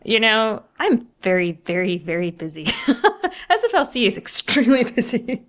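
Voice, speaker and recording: A woman co-hosting a podcast, chatting casually and disagreeing in a measured, friendly way. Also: mid-range voice, very animated, fairly bright tone. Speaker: nonprofit lawyer and podcast co-host. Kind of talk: casual conversation, friendly debate.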